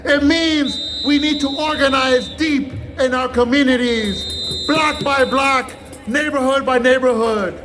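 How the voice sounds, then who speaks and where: Male rally orator, very high raised voice, slow emphatic delivery, impassioned activist pitch. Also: rough recording. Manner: impassioned, declamatory, emphatic pauses. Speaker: protest speaker, activist. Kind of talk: rally speech. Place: outdoor street protest. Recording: loud rally PA with crowd and music. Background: crowd and music.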